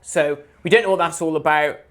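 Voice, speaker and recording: A man's voice: on the high side, a little fast. Chatty man retelling a plot. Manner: animated retelling, acts out characters' lines. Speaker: podcast co-host. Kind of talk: casual chat.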